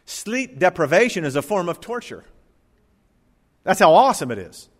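A middle-aged male pastor preaching a sermon in a church, moving between loud emphatic bursts and quiet, low asides. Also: very animated, moderate pace. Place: church sanctuary. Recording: church hall reverb.

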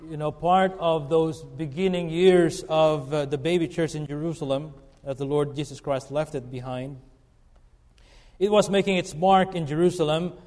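Preacher in a reverberant church. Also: male voice, on the high side, darkish tone. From Philippines. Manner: earnest, halting with fillers and restarts. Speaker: guest preacher. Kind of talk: sermon. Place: church sanctuary. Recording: long reverb of a church hall.

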